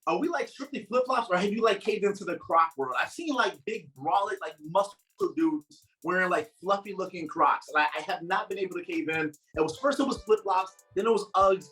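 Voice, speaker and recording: A man's voice: high-pitched, sharp tone. A man interviewing a guest in a casual, rambling way, full of fillers and eager asides. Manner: rambling, filler-heavy, enthusiastic. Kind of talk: casual interview over a call. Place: home in northern New Jersey.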